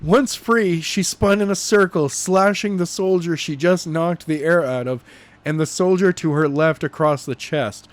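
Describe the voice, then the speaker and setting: A man with a slightly high voice reads a novel aloud as its narrator. His delivery is animated and homemade rather than polished. He stumbles over phrases and corrects himself as he goes. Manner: halting, stumbling read with self-corrections. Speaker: narrator reading a novel chapter. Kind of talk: animated amateur audiobook narration.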